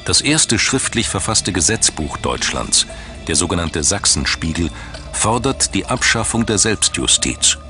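A man narrates a scripted documentary in a low, deep voice. His delivery is measured and dramatic, like formal storytelling, with music running clearly underneath. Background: background music.

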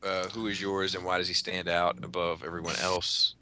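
A man's voice: low voice, little pitch movement, moderate pace, noisy background. A man hosting a podcast, casually moderating a group chat and putting questions to the others. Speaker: podcast host reading listener questions.

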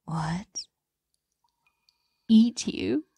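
A woman performs a huge ancient female dragon in an ASMR roleplay, possessive and teasing. Her whisper is close and dry, with a creaky rasp at its edges. She lingers over her words, doting and predatory at once. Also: medium pitch, drawn-out words, warm tone, no echo, exaggerated voice. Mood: amused, teasing. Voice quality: breathy whisper.

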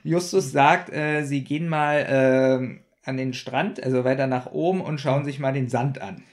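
A man's voice: medium pitch, fairly even intonation, normal speed. A man co-hosting a podcast in casual, bantering chat. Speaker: podcast co-host.

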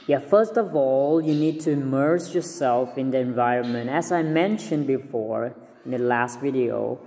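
Slow, mellow Cambodian-accented young man. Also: medium pitch, in a large hall. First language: Khmer. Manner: earnest, repeats words and restarts often. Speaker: vlogger giving language tips. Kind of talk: casual talk to camera. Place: small indoor room. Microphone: phone at arm's length.

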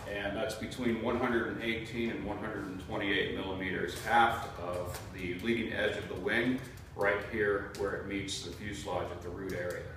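A man's voice: on the low side, fairly bright tone, slight background noise. A man in his thirties giving a methodical model aircraft review to camera, with frequent fillers, in an indoor studio. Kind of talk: product review talk to camera. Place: indoor green screen studio. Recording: very echoey room, mic far off.

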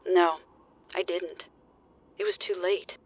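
A man's voice: high voice, fairly even intonation, slow pace, slightly rough, lo-fi sound, exaggerated voice. An actor playing a weary, guilt-ridden male mercenary who speaks in a terse, tired, stern way. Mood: regretful.

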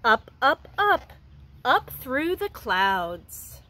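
A woman in her thirties, a preschool teacher, reading a picture book aloud to young children outdoors in a gentle, expressive voice. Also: high-pitched, extremely slow. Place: outdoors in front of a house.

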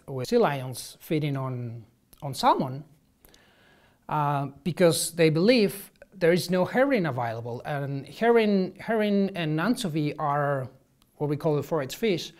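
A man, answering interview questions as a marine ecologist, halting and restarting often. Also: fairly high pitch. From Spain. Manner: halting, many restarts and ums, gestures while explaining. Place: office with shelves, indoors. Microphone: lapel.

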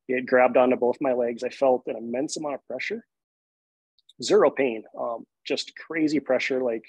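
A man in his forties from Hawaii tells a personal story in a calm, steady way, with the odd "um". His voice is a bit husky. The video call sound is dry and close. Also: mid-range voice, normal speed, dry recording, rough recording. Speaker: guest, shark activist.

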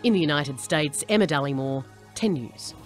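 A woman's voice: low voice, expressive, normal speed, faint music behind. An Australian woman in her thirties delivering a television news report as a correspondent. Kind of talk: live news report and scripted narration. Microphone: lapel mic.

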